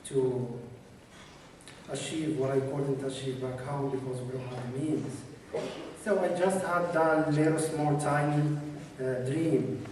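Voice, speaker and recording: A man's voice: medium pitch, a little mellow, slight background noise. A man from Morocco speaking plainly and from the heart as a resident at a city council meeting. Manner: plain, heartfelt, simple phrasing. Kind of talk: public testimony. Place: large council chamber indoors. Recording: echoey council chamber from far off.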